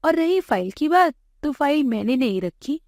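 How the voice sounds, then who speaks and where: A woman acts out a wronged young wife in a family tale. She sounds earnest, plaintive and dignified, raised in pitch and recorded dry. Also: very animated, normal speed, darkish tone, dry recording. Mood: hurt, defiant. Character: hurt but dignified young wife standing up for herself.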